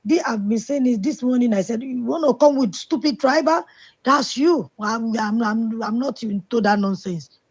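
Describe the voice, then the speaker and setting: A woman's voice: fairly high pitch, normal speed, slightly rough. A woman on a live call-in discussion, speaking indignantly and emphatically. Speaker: call-in participant.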